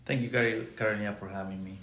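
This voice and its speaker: A man's voice: lowish voice, steady tone, rapid-fire, bright, forceful tone. A middle-aged man with an Argentine accent, speaking as an expert podcast guest in a formal, methodical way. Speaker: podcast guest, accounting firm partner. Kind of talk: prepared technical explanation. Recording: roomy echo, faint background noise.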